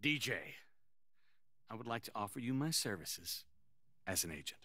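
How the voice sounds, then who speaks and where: An actor playing a fast-talking, overeager small-time sports agent with an emphatic, grandiose sales pitch in a video game cutscene. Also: male voice, expressive, sharp tone, no room sound. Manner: emphatic, theatrical, salesman-like pep talk.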